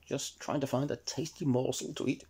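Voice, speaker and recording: A man's voice: medium pitch, fairly even intonation, moderate pace, vocal fry, darkish tone, breathy edge. A man narrating a children's story as a storyteller.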